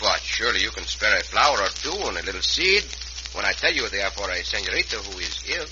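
A man's voice: lowish voice, very animated, fast talker, highs cut off. A man playing a courteous, fearless Mexican cowboy adventurer with a Mexican accent in an old radio western. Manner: courtly, polite, Spanish words mixed in. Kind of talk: 1950s radio western acting. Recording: noisy, band-limited old radio recording.

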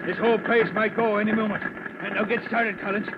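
A man's voice: high voice, little pitch movement, fast talker, natural voice. An actor playing a commanding veteran fireman in an urgent, old-time radio drama style. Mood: firm, urgent. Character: commanding veteran fireman inside a burning warehouse. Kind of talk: 1940s radio acting. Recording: noisy muffled old radio recording.

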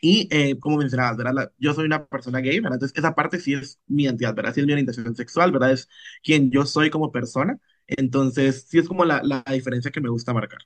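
A man's voice: medium pitch, fast talker, no echo. A man with a Guatemalan Spanish accent, a podcast guest explaining things conversationally and often checking for agreement. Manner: explanatory, often adding tag questions to check understanding. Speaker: podcast guest, drag artist.

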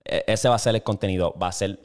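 Laid-back Puerto Rican man, low smooth voice. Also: fairly even intonation, fast, a little mellow. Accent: Puerto Rican Spanish. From Puerto Rico. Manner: slangy, laid-back, swearing freely. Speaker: podcast host. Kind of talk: casual solo podcast chat. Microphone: single camera with mic.

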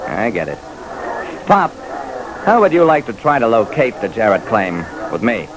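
Lively American radio detective. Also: male voice, on the low side, normal speed. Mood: brisk, determined. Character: wry, dogged male private detective telling his case. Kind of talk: old-time radio detective narration and acting. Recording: faintly hissy vintage radio recording.

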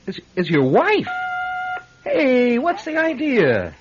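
Jittery, stammering photographer, swooping pitch. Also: male voice, wildly swooping pitch, slow pace, noisy background, heavily acted. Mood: alarmed, baffled. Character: nervous, guilt-haunted male studio photographer, easily startled. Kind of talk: radio drama acting. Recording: dull band-limited radio drama.

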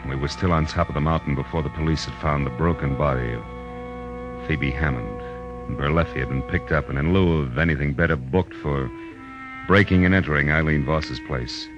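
A man's voice: rumbling low, fairly quick. A man playing a hard-boiled, world-weary private eye in a 1940s radio detective drama, dry and laconic. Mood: reflective narration. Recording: dull old radio recording with music.

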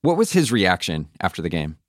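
A man's voice: low-pitched, big pitch swings, brisk, no echo, clean studio sound. A man presenting a sports podcast in a polished, scripted way. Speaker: podcast host. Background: music bed.

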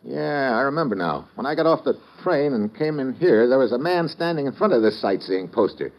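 An actor playing a wry, dogged American investigator in an old-time radio drama, with slangy, hard-boiled delivery. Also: male voice, mid-range voice, expressive, brisk, natural voice. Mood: intent. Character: wry, dogged male American investigator narrating his case. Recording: muffled, hissy old radio recording.

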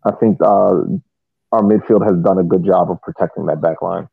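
A low-voiced man gives casual tactical analysis on a sports podcast. He explains things in measured phrases, and the sound is thin and phone-like, with no room around it. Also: fairly even intonation, gravelly, slightly rough, no room sound. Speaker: soccer podcast co-host. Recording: dry, tinny phone-like line.

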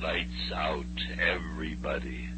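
Ominous, very slow horror radio announcer. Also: male voice, a bass voice, level tone, over loud music, low-quality recording. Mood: ominous, portentous. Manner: slow, portentous. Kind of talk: 1940s radio horror acting. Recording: old radio broadcast with loud eerie backing.

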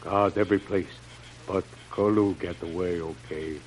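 A man's voice: sits low, fairly even intonation, moderate pace. A man playing a humble, loyal native jungle servant in an old radio serial, speaking broken pidgin English and naming himself in the third person. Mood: dutiful, earnest. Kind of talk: old-time radio adventure serial acting. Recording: noisy old radio drama recording.

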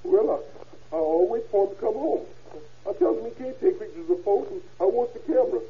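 A man's voice: high voice, expressive, fairly quick. An actor in an old radio crime drama playing a frightened, stammering murder suspect with folksy, ungrammatical speech, pleading as he breaks under questioning. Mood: nervous confessing. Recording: tinny, narrow-band vintage radio recording.

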